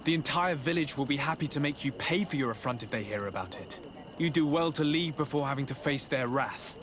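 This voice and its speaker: A man playing a composed, pragmatic diplomat in a video game, speaking in a measured, formal way. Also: mid-range voice, animated, a crowd in the background, close to an everyday voice. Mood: stern. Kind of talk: video game cutscene acting.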